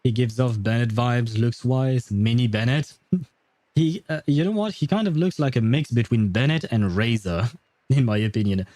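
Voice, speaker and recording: A man's voice: medium pitch, normal speed, dark tone, no echo. A man casually commentating on a game stream, with a blunt, wry and often profane manner, close to the mic. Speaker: livestreamer.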